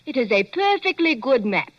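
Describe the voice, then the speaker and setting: An actress playing an excitable young Frenchwoman with a French accent and broken English, in 1940s radio drama style. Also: high-pitched, moderate pace, muffled recording.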